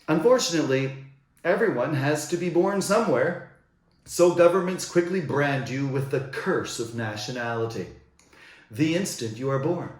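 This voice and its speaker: Indignant man, echoey room. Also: fairly high pitch. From United States. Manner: indignant, rhetorical. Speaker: commentator. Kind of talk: scripted monologue.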